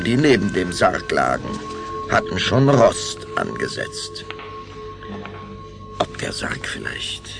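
A man's voice: low-pitched, creaky. A man narrating a children's radio play as a theatrical, suspenseful storyteller. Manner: suspenseful, theatrical, shifting to whispers.

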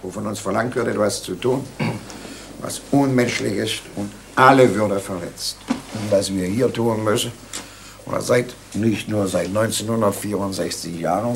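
Archive-style male speaker, creaky low voice, halting but emphatic moral argument, slight background noise. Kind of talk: earnest moral argument, unscripted speech. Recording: faintly noisy archive recording.

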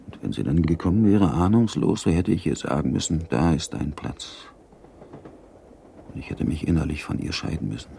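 A very deep, dark male voice as a betrayed Prussian baron in a radio drama. He is grave and halting, holding himself rigidly in check, with faint hiss and clicks on the recording. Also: expressive, gravelly, exaggerated voice. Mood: anguished, brooding. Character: brooding, rigidly self-controlled Prussian baron and betrayed husband. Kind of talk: German radio drama acting.

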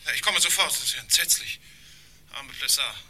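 An actor playing an agitated, shocked acquaintance phoning in a German radio crime drama, speaking haltingly. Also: male voice, mid-range voice, background noise, natural voice. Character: agitated, shocked male business acquaintance on telephone.

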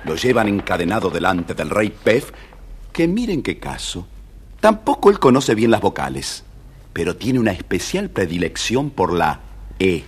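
A man with an Argentine accent and a husky voice, narrating a scripted story for children. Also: lowish voice, big pitch swings, moderate pace, a little hiss or hum.

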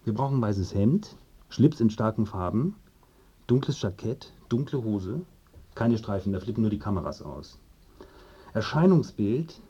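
A brisk media consultant in a radio play. His voice is low and husky but very animated, handing out clipped, condescending instructions. The sound is a little muffled. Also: exaggerated voice. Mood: brisk, patronising. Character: brisk, patronising male media consultant coaching a client. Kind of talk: radio drama acting.

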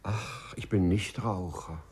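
Meek male clerk, deep voice, formal, hesitant, old-fashioned politeness, 1950s radio play. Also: takes it slowly, a little mellow. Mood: hesitant, earnest. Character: meek, fussy, old-fashioned male civil servant, anxious patient. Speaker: actor.

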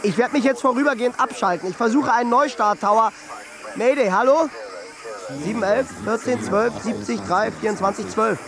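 A man's voice: extremely high pitch, normal speed. An actor playing a desperate, panicking man who breaks down like a failing airplane in a radio drama. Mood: frantic malfunctioning. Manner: desperate, distress-call exclamations.

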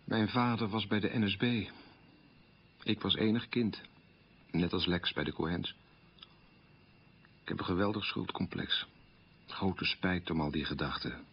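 Casting: middle-aged man, low voice, earnest and self-reproaching, confessional radio drama, muffled old recording. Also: a little slow, long pauses, slight background noise. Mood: remorseful. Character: guilt-ridden middle-aged son of a wartime collaborator.

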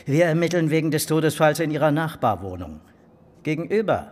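Sardonic male detective, lively and reverberant. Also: fairly high pitch, brisk. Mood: probing, needling. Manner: dry, mocking, clipped. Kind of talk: radio crime drama acting.

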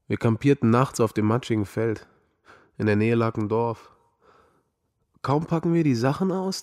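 A man's voice: mid-range voice, vocal fry, a little mellow, lightly acted. Man acting a haunted young war veteran. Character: young male American Vietnam veteran, haunted, wry, self-doubting. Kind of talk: radio drama acting, storytelling. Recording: music behind the voice. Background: music.

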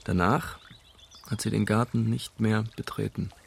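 A man playing a calm, reflective young Englishman giving a witness account in a German radio crime drama. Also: on the low side, measured pace, creaky, a little hiss or hum. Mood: explanatory. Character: young Englishman, dying uncle's observant great-nephew, calmly forthcoming.

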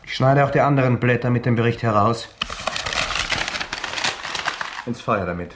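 An actor playing a serious, resolute gentleman of 1914 Vienna in a German radio play, speaking in a measured way. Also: medium pitch, slight background noise, close to an everyday voice. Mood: resolute, sober. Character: Felix, sober, resolute gentleman in 1914 Vienna, reading and questioning. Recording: echoey room.

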